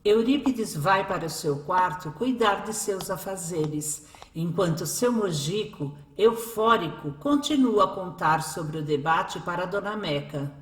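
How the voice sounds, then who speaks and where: A woman's voice: on the low side, a little slow, few pauses. A woman narrating a radio drama from a script. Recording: echoey, music behind. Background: music.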